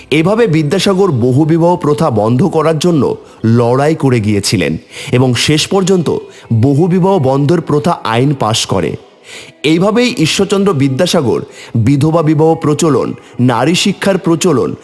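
Animated male storyteller with long reverb. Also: on the high side. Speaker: narrator. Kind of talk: expressive scripted story narration. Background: faint music.

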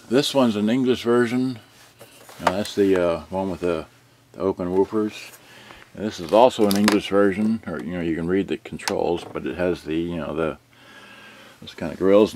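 Low-voiced older man, muttering. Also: a little mellow. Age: older, 60s. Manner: halting, muttering to himself while tinkering. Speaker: vintage boombox collector presenting his channel. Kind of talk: casual unscripted demonstration. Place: cluttered indoor storage room. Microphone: camera microphone, tripod then handheld.

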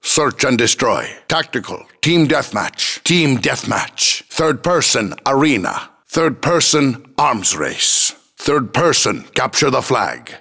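A man's voice: mid-range voice, gravelly, pushed and bright, breathy edge, full character voice. A man playing a militia commander as a video game announcer, giving short, clipped, commanding battle calls. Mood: stern, controlled. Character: forceful militia commander barking battle updates.

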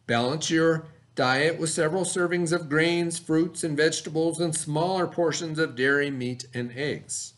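A middle-aged American man, an elementary teacher, reading a lesson aloud to children in an expressive, instructional manner. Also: fairly high pitch. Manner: expressive, instructional, pauses to ask the listener questions. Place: small indoor classroom, at a desk.

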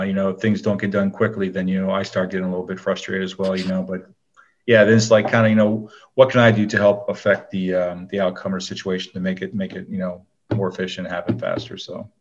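A man with a low-key, laid-back manner, chatting casually as an interview guest. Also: little pitch movement, brisk, highs cut off. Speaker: guest, actor.

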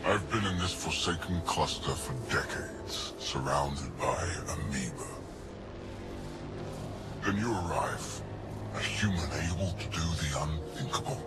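Casting: menacing alien tyrant for a sci-fi game, extremely low gravelly voice, imperious, loud score underneath. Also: male voice, loud music behind the voice. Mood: scornful, menacing. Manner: slow, imperious, contemptuous. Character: cold, arrogant alien warlord and experimenter, menacing.

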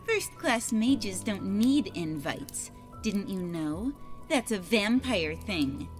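Woman acting a cheeky catgirl mage, animated. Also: medium pitch, slow, soft background music. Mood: cocky. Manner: teasing, self-assured. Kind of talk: visual novel voice acting.

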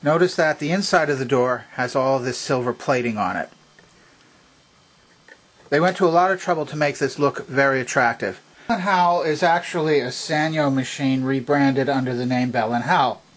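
A man's voice: mid-range voice, moderate pace, a bit bright. A man giving a hands-on product demonstration, methodically listing parts and steps as he goes. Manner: methodical, clearly enumerating. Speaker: vintage electronics demonstrator. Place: indoors at a home workbench.

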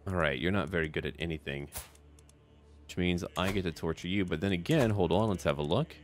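A man's voice: low-pitched, brisk, a little hiss or hum. A man hosting a casual roleplay gaming video, commenting in a chatty, offhand way as he addresses the game's characters.

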